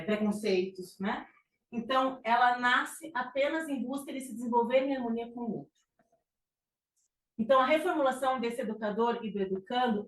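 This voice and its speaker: A middle-aged Brazilian woman giving an informal psychology talk, with frequent fillers and restarts. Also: fairly high pitch. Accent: Brazilian Portuguese. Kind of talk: conversational lecture presentation. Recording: echoey room, distant mic, faint noise.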